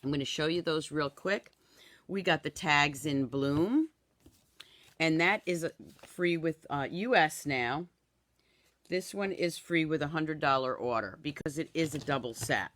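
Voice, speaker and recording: A woman in her fifties, hoarse and raspy from a cold, chatting through a live craft demo and greeting viewers by name in a home craft room. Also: sits low, unhurried, a bit bright. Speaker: craft product demonstrator. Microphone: camera in front, then overhead camera.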